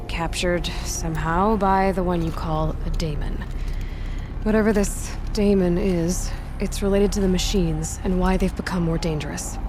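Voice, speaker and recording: Woman voicing a wry, determined young hunter for a game, lowish voice, ambience clear behind. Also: background noise, close to an everyday voice. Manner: direct, wry.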